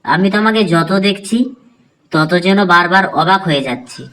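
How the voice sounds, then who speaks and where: A man's voice: sits high, animated, moderate pace, pushed and bright, poor audio. A man playing a cautious, devoted young village man in love, speaking earnestly in a cartoon drama. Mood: tender, admiring.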